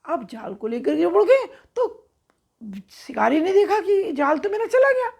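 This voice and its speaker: A woman's voice: extremely high pitch, big pitch swings, a little slow, vocal fry, darkish tone, slight background noise. A woman storyteller narrating for children in a conversational, explanatory way, checking in with her listeners.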